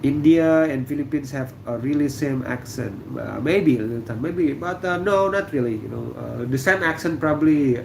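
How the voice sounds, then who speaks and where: A middle-aged man from Indonesia hosting a relaxed livestream, answering viewer comments with lots of fillers and pauses. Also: on the high side, creaky, background noise. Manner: relaxed, halting, many fillers. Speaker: livestream host. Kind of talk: casual livestream chat reading viewer comments. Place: room indoors.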